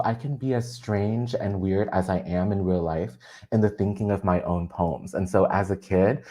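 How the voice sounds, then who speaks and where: Guest poet, Vietnamese first language, low register, earnest, quick to laugh. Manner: earnest, animated, laughs often. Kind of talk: reflective conversation on craft.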